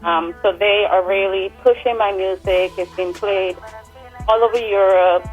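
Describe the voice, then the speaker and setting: A woman's voice: medium pitch, steady tone, unhurried, lo-fi sound, soft background music. Guest singer, woman, 40s, Swedish, easygoing talker. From Sweden. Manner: warm, chatty, laughs easily. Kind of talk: casual radio interview.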